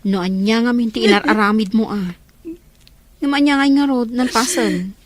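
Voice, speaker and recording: A woman's voice: on the high side, normal speed, close to an everyday voice. A woman with an Ilocano accent acting a matter-of-fact older sister giving advice in an audio drama. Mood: advising.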